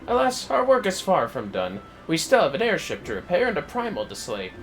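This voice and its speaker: A man voicing a pompous, eloquent young gentleman scholar from a fantasy game, with florid, theatrical diction. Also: on the high side, huge pitch swings, a little fast, soft background music, pitched above the performer's own voice, exaggerated voice. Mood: grandly wistful.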